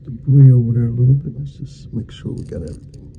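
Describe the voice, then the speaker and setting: A man speaking softly, at times nearly whispering, in an ASMR roleplay as a dentist, with folksy, reassuring small talk in short murmured phrases. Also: mid-range voice, fairly even intonation, quick pace, phone-quality sound, slight background noise. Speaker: ASMR performer playing a dentist. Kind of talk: ASMR roleplay, soft-spoken to half-whispered.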